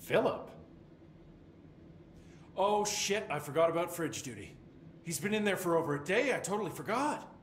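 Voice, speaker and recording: An actor playing a dry, world-weary government containment supervisor in a video game, with a sardonic, deadpan manner. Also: male voice, high-pitched, normal speed, pauses often. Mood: flustered. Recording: roomy echo, faint background noise.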